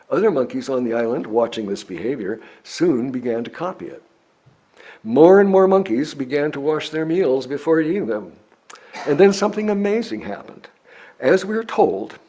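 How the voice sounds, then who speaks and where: An American man reading a sermon from a script, speaking in measured, deliberate phrases with emphatic pauses. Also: medium pitch, darkish tone, slightly rough, lo-fi sound.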